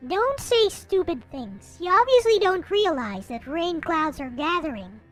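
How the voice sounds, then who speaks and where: A woman voicing a bitter, irritable young visual novel character, sharp and brusque. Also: very high voice, sing-song, unhurried, soft background music, exaggerated voice. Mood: angry, brusque. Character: bitter, irritable young person losing their sight.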